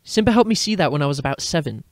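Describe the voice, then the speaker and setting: A man's voice: fairly high pitch, very fast, no room sound. A man playing a sardonic grown-up wizard who mutters dry retorts in a dramatic fan fiction reading. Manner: muttering, wry, animated.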